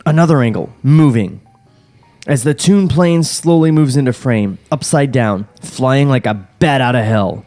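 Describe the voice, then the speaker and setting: A man's voice: medium pitch, unhurried, a little mellow, faint music behind. A man hosting a podcast, reading a screenplay's stage directions aloud in a comedic table read and cracking jokes between lines. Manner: reads directions steadily, breaks off into jokes and laughter. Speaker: podcast host reading a script.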